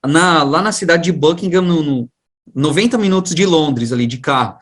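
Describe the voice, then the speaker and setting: A man with a fairly high-pitched voice and a Brazilian accent from the São Paulo region. He talks as an interview guest, recounting things informally. His way of speaking is chatty and full of everyday slang. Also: normal speed. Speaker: guest, science teacher and education researcher. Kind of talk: casual conversational storytelling.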